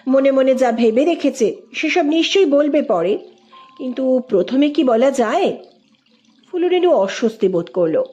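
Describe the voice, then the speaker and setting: A woman's voice: sits high, lively intonation, unhurried, dark tone, dull audio. A woman reading a literary story aloud as a scripted narrator.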